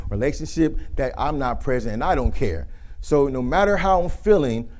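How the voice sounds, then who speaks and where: A man with a deep, mellow, dark-toned voice speaks in a relaxed, conversational way as a workshop presenter. He is in his thirties and drifts at times into quick demonstrations of flat versus lively delivery. His pitch sits in a middle range for a man. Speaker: speaker giving a communication workshop.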